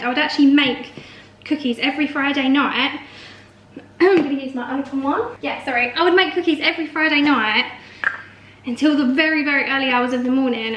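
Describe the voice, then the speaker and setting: A woman chatting casually through a baking vlog with rambling explanations, her voice slightly hoarse. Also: high voice, a bit bright. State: slightly hoarse from a long call. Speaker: vlogger and home baker. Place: home kitchen. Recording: echoey room, faint noise, clicks.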